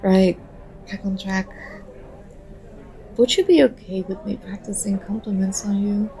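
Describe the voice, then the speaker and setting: A woman acting as a shy young stranger in an intimate ASMR roleplay. She is nervous and self-conscious, rambling in hesitant, overthinking turns. Her mid-pitched voice often drops into a crackly fry. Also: fairly even intonation, a little slow, mellow. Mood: awkward, apologetic.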